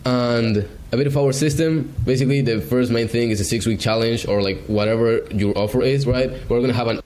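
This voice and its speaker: A man from Argentina pitching agency services on a video call, hesitant and full of fillers. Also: fairly even intonation, brisk, darkish tone. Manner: hesitant, filler-heavy, casual. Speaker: beginner agency salesman.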